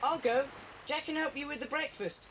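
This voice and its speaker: A woman's voice: sits high, moderate pace, a bit bright, low-quality recording. A woman playing a practical, forthright British schoolboy in a 1980s children's adventure audio drama. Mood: urgent. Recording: hissy 1980s cassette recording.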